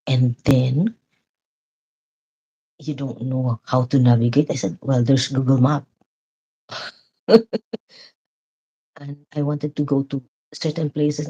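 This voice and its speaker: Very low husky woman, loud and chatty. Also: lo-fi sound. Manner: loud, chatty, laughs often. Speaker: vlogger chatting with live viewers. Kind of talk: casual live-stream chat.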